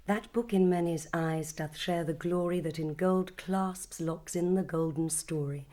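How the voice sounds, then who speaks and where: Actress as bossy noble mum in shakespeare radio play, lowish voice, formal and composed. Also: female voice, steady tone, unhurried, warm tone, lightly acted. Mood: persuasive. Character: poised, commanding noblewoman mother, formal and brisk. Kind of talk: Shakespearean audio drama acting.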